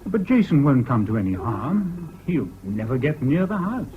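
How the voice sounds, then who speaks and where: A man's voice: mid-range voice, big pitch swings, normal speed, close to an everyday voice. An actor playing a grave, reassuring English man bearing bad news in a radio drama. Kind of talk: British radio drama acting. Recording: dull, hissy old radio recording.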